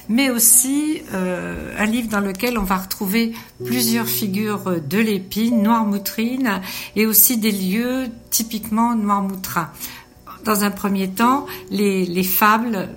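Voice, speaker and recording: A woman hosting a local radio show, introducing her guest and asking prepared questions. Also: mid-range voice. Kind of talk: radio interview. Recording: radio studio with music behind.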